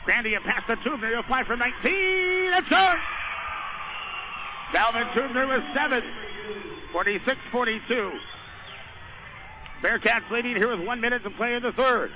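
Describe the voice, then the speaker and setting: A man doing live radio play-by-play of a basketball game in clipped phrases, rising to excited shouts on big plays. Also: very high voice, moderate pace, pauses often. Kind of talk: live radio sports commentary. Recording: noisy radio broadcast from a gym.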